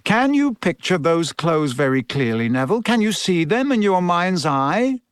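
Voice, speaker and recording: Guy doing a kind cheerful teacher for an audiobook, calm n patient, higher pitched, very animated, dry sound. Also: normal speed, smooth, no room sound. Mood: amused, instructing. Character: kindly male schoolteacher, encouraging, calm, gently amused. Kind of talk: audiobook character voice.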